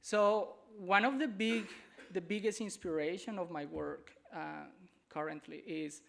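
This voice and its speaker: A man from Colombia with a Colombian Spanish accent, a native Spanish speaker, giving a conference presentation in a halting way, searching for words and repeating himself. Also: high-pitched, vocal fry, a bit bright. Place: conference hall.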